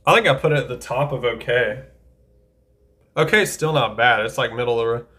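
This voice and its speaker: A man of middling pitch chats loosely as he ranks anime card duels. He sounds enthusiastic and thinks out loud, often stopping short to correct himself. The talk is relaxed and unscripted. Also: a little fast. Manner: chatty, enthusiastic, thinks aloud with self-corrections. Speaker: anime tier-list creator. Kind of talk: casual tier-list commentary.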